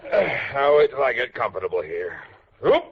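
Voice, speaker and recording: A man's voice: medium pitch, very animated, brisk, husky. A man playing a jovial, drily joking husband who is a dinner guest in a 1940s radio comedy. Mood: dryly joking. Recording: muffled, slightly noisy old radio.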